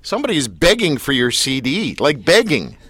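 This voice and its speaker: A male radio host with a bright, sharp tone and a lively voice that swings up and down. He teases his guest playfully and sounds close and dry in the studio. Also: fairly high pitch, moderate pace, breathy edge, dry recording. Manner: playful, teasing and enthusiastic. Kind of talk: casual interview chat.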